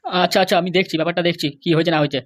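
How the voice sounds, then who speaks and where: A woman's voice: lowish voice, very fast, lightly acted. A woman voicing a middle-aged father and local politician in a cartoon, exasperated, grumbling and full of bluster. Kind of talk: cartoon dialogue.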